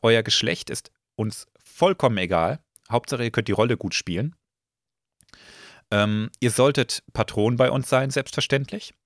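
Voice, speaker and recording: A slightly hoarse man hosting a casual podcast, relaxed and full of filler sounds. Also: mid-range voice, measured pace, no room sound, clean studio sound. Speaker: podcast host.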